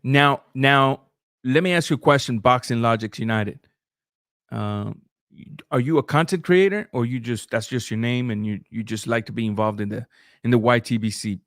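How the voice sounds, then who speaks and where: A man's voice: mid-range voice, moderate pace, a bit bright, no echo, clean studio sound. Casual Spanish-speaking man streaming boxing talk. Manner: loose, conversational, with frequent restarts and filler sounds. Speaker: boxing channel host. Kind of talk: live-stream commentary, reading super chats.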